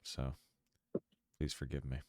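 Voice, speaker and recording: A man's voice: extremely low pitch, completely flat delivery, slow, warm tone, no echo. A man with a stuffy nose from a cold hosts a casual chat before a tabletop game, laid-back and joking. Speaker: host. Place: dark room at home.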